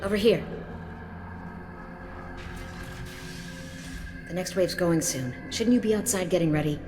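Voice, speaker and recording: A woman's voice: fairly low pitch, fast talker, natural voice. A woman playing a jaded, frank mercenary lieutenant in a video game, matter-of-fact and hard-edged. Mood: brisk. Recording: music behind the dialogue.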